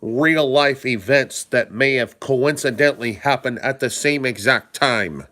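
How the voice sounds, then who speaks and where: A man hosting a live stream, hoarse and stuffed up from a cold, talking casually in a rambling way and greeting viewers by name. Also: mid-range voice, measured pace, pushed and bright. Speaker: livestream host.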